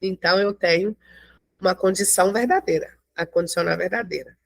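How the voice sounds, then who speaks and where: A woman's voice: fairly low pitch, vocal fry, no echo. A woman teaching a math lesson, explaining step by step and often checking in with her listeners. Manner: explains slowly with frequent check-ins. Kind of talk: classroom lecture.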